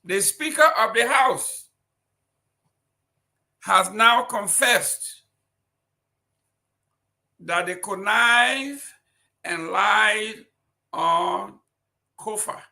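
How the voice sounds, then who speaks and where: A man's voice: sits high, expressive, extremely slow, creaky, pauses often, bright, forceful tone. A man with a Liberian accent, reading political news and then commenting on it with indignant, emphatic rhetorical questions. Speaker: talk show commentator.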